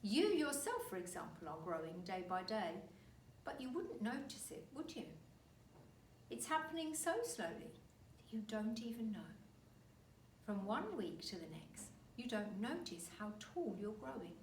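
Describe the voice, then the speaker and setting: Animated, unhurried woman storyteller. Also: medium pitch. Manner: dramatic, deliberate emphasis. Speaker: teacher reading aloud. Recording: roomy, distant mic, faint noise.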